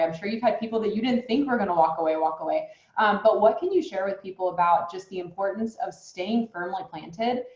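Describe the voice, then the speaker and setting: A woman's voice: mid-range voice, darkish tone, reverberant, lo-fi sound. A woman in her late twenties hosting an interview, effusive with praise as she asks her questions. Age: late 20s. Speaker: host. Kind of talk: online interview.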